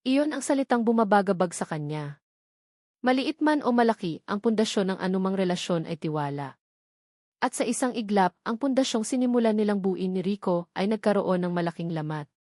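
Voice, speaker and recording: A woman's voice: medium pitch, expressive, a little fast, a little mellow, dry recording. Filipino woman narrating, dry close recording. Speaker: story narrator. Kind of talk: scripted dramatic narration of a love story.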